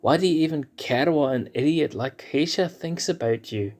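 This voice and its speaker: A man's voice: a little high, moderate pace, darkish tone, voice pushed up, natural voice. A man voicing a shy, sensible young male lion cub who speaks hesitantly and reasons with his friend. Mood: puzzled.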